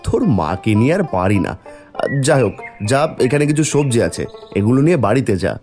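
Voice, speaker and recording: A man's voice: medium pitch, big pitch swings, brisk, a little mellow, faint music behind. A man voicing a poor, weary farmer father in a fairy-tale cartoon, fretful in manner. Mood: busy, grumbling. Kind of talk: animated fairy-tale dialogue.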